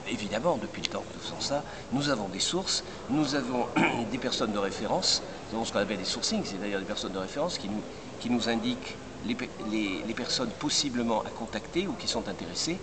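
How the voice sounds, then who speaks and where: An older man heading a recruitment firm, answering interview questions in a measured way with many hesitations and restarts. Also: on the low side, expressive. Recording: loud background noise.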